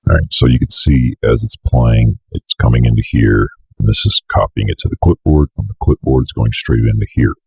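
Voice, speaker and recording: A young man giving a casual, offhand screencast tutorial with some swearing, in a very deep, fry-laden voice close to a desk mic. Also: fairly quick, few pauses, a little mellow, no room sound, poor audio. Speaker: tutorial host. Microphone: close desk microphone on an arm.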